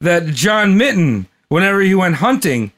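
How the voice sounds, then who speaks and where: A man hosting a casual comedy podcast, telling a history story in a lively way and laughing often. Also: sits high, normal speed. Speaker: podcast host.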